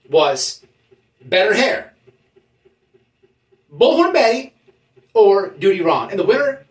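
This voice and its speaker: A YouTube host giving mock-serious commentary in an emphatic, halting, mock-official way, often checking in with listeners. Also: mid-range voice. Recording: roomy echo, distant from the mic.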